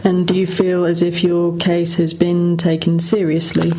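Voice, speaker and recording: Even-toned female reporter, lowish voice. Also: measured pace, a little hiss or hum, low-quality recording. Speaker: radio reporter. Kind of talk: prepared interview questions.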